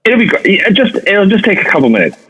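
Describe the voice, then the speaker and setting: An actor playing a breezy, pushy handyman friend in a comedic audio drama, with an excitable, repetitive way of talking. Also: high-pitched, quick pace, husky, no room sound, muffled recording, full character voice. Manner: excitable, repetitive, casually insistent.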